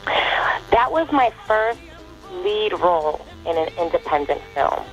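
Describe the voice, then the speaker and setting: A woman being interviewed for a radio show, telling her stories with lively swings in her voice and laughing often. The sound is a bit muffled and lo-fi. A faint bed of music sits underneath. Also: fairly low pitch, slow pace. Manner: animated storyteller, laughs often. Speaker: actress, interview guest. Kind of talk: casual interview conversation.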